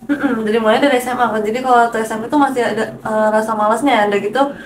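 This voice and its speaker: A woman's voice: on the high side, fairly even intonation, fast talker. A woman with an Indonesian accent, a teacher guest telling personal stories in a casual chat. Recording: distant microphone, clear background noise.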